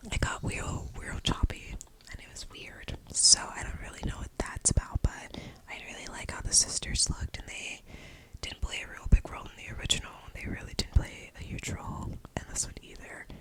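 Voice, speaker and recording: A young woman giving a casual, informal movie review, whispering nearly the whole time in a breathy, unvoiced voice. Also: low-quality recording. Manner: informal, slangy, rambling. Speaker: film reviewer.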